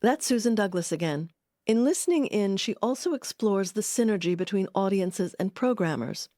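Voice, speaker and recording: A woman's voice: mid-range voice, animated, moderate pace, dark tone, dry recording, clean studio sound. A woman reading scripted documentary narration. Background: music.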